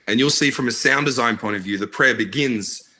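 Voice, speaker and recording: A man with a bright, forward tone and a mid-range voice talks earnestly in a casual interview. His speech is halting, full of fillers and restarts. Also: mid-range voice. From Iraq, raised in Australia. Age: adult, married. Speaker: filmmaker guest.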